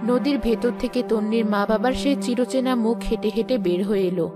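A woman's voice: fairly high pitch, a little fast, over music. A woman giving scripted narration of a story.

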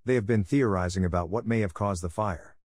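Brisk, low-voiced man reading test sentences. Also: darkish tone, no echo, studio quality. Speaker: test prompt reader.

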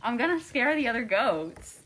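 A woman with a bright, lively voice talks playfully to goats, coaxing them toward the camera. Her pitch sits fairly high and swings widely. Faint background noise sits under her voice. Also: normal speed, poor audio. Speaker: sanctuary worker. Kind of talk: casual talk to goats.

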